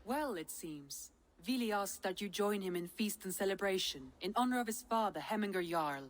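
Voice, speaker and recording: A woman voicing a composed Viking clan strategist in a video game, formal and measured in her advice. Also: medium pitch, lively intonation, natural voice. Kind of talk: video game dramatic acting.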